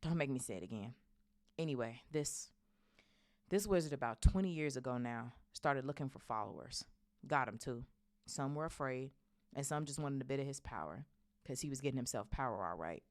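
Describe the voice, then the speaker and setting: Woman acting a gruff, blustering big loyal groundskeeper. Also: very deep voice, lively intonation, a little mellow, no echo, studio quality. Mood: grave. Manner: blustering, halting, folksy.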